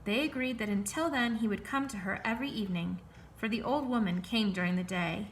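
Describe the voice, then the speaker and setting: A woman reading a fairy tale aloud in a clear, expressive, measured way. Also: medium pitch, a little hiss or hum, poor audio. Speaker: storyteller.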